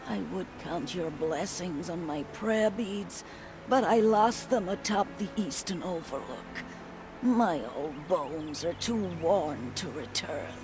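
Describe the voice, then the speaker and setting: A woman's voice: mid-range voice, lightly acted. An actress playing a devout, frail old outcast woman in a video game, praying aloud in solemn, archaic phrasing. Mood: devout, hinting. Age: older. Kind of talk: video game character acting. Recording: music behind.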